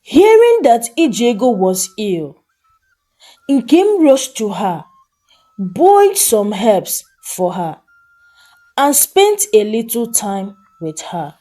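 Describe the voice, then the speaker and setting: Lady telling a folktale, takes her time, really expressive, faint music behind. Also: on the high side. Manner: measured, expressive delivery. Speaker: storyteller. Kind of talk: dramatic scripted folktale narration.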